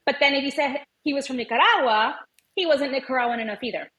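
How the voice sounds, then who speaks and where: A woman's voice: high voice, fast, crowd noise. A woman from Venezuela who was raised in the United States, a native Spanish speaker, talking conversationally as a podcast guest and telling personal stories with quoted dialogue. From born in Venezuela, raised in the United States. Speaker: podcast guest, language educator and advocate. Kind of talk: conversational interview storytelling.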